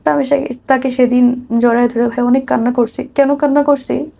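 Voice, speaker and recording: A woman's voice: sits high, steady tone, moderate pace, gravelly, mellow. A woman with a Bangladeshi accent telling her own life story conversationally as an interview guest, acting out what people said.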